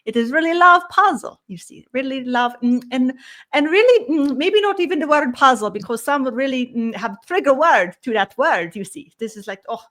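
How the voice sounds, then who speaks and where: A woman channeling a spiritual collective in a slow, ceremonious way. She speaks as "we" and ends almost every phrase with "you see". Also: sits high, animated, a bit bright. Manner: speaks as "we", with slightly odd grammar.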